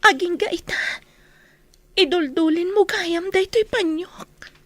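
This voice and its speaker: An actress playing a tender, emotional young office worker in a radio drama, speaking haltingly. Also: female voice, very high voice, fairly bright tone, dry recording, heavily acted. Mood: stunned, moved. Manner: slow, halting, hesitant delivery. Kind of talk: Ilocano radio drama acting.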